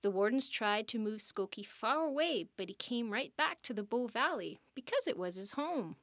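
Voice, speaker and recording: A woman reading a scripted educational story as a park interpreter, with expressive, deliberate phrasing suited to families. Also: fairly high pitch, dry recording, studio quality.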